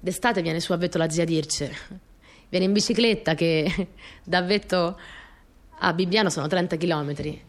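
A woman's voice: on the low side, normal speed, lightly acted. An actress playing an earnest, dreamy young Italian partisan courier who recalls her wartime girlhood in an intimate, simply told radio monologue. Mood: fond, lively. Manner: simple, conversational, reminiscent storytelling with short phrases. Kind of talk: radio theatre monologue, intimate first-person storytelling.

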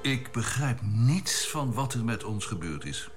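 Excitable spaceship engineer, animated pitch. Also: male voice, unhurried, loud music behind the voice. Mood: serious, puzzled. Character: earnest male spaceship engineer, excitable under pressure. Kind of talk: radio drama acting, science fiction serial.

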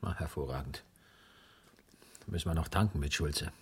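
An actor playing a weary, anxious, arrogant man drawn in as a reluctant accomplice, in naturalistic radio drama dialogue with a husky, rough voice. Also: very deep voice, normal speed, full character voice. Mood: tense, practical.

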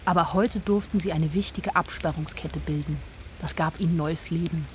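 Actress doing youngish woman narrator, lowish voice, first person radio play storytelling, bit of music behind. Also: fairly even intonation, moderate pace, close to an everyday voice. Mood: wry, observant. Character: observant young woman narrating her life in Nazi Germany.

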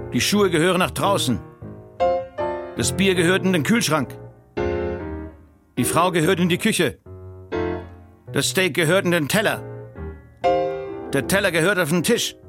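A man playing a deadpan reciter in a radio play, delivering short declarative maxims like a list. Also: fairly high pitch, close to an everyday voice. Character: deadpan male reciter of absurd household maxims.

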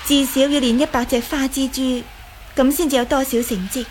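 A woman's voice: sits high, steady tone, moderate pace, close to an everyday voice. An actress playing a bitter, defiant young martial arts woman in a Cantonese radio drama. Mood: matter-of-fact. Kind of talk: Cantonese audio drama acting. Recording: faint background noise.

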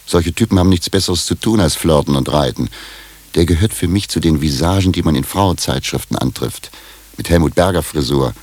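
An actor playing a put-upon middle-aged husband, lovestruck, smug and scheming, in a German radio drama. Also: male voice, rumbling low, brisk, a little mellow, dry recording.

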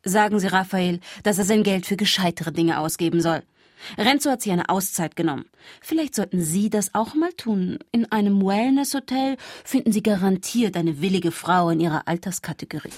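Actress, jilted sharp-tongued woman, radio drama, slightly rough husky voice, dry close sound, coolly mocking. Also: medium pitch, animated, moderate pace, no room sound, natural voice. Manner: curt, dry, cutting.

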